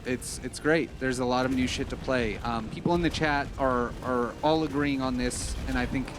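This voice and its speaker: A man co-hosts a gaming stream and talks at a middle pitch in a relaxed, frank way. He swears casually and keeps an easy, conversational pace. Game music runs behind him. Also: normal speed, background noise. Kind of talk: casual chat.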